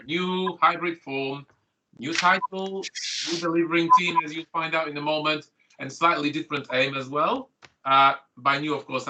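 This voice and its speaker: A man's voice: medium pitch, sharp tone, poor audio. A middle-aged man whose first language is Polish, a doctor opening an online training course in a chatty manner full of fillers and restarts, speaking into a computer mic on a video call. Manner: chatty, filler-heavy, self-correcting.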